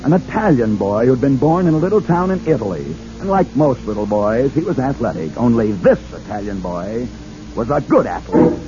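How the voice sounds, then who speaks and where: Showman radio host, lively swooping delivery, music bed, muffled vintage sound. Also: mid-range voice, normal speed. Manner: theatrical, emphatic showman. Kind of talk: dramatic radio narration.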